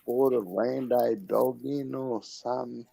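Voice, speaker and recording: A man's voice: mid-range voice, fairly even intonation, a little slow, creaky, mellow, slight background noise. A middle-aged man in casual chat, laconic and profane.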